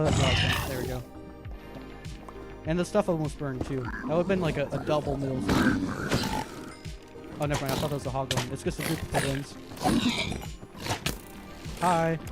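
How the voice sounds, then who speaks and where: A man with a very high voice giving casual, chatty live stream commentary as he plays a video game. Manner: chatty, self-narrating while playing, easily animated.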